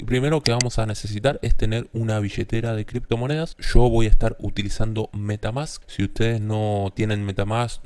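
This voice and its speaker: Low-voiced man giving a tutorial. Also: smooth. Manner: often ends statements with a questioning "¿sí?" tag. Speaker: video tutorial host. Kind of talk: step-by-step screen tutorial narration.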